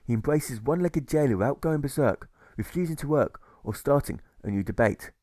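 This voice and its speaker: Male audiobook narrator, medium pitch, rhythmic scripted verse, measured delivery, very dry recording. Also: animated, a little mellow, dry recording. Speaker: author reading own audiobook.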